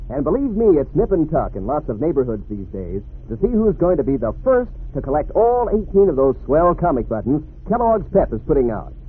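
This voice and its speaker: A man's voice: a little high, husky. A man working as a 1940s radio announcer, selling to children in a folksy, enthusiastic way. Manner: folksy, peppy, enthusiastic salesmanship. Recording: narrow-band vintage radio recording.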